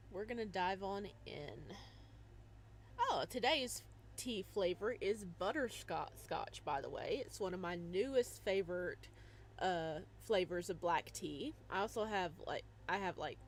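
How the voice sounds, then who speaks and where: A bubbly young woman chats to her stream viewers at an unhurried pace, giggling often and greeting each one by name. A faint hiss sits under her desk microphone. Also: medium pitch. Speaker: streamer playing a visual novel. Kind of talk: casual live stream chat.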